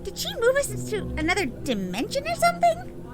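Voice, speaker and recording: A woman playing an excitable, quirky, nerdy young know-it-all in an audio drama, chatty and eager. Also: extremely high pitch, brisk, over music, full character voice. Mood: worried. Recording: noisy, hissy background.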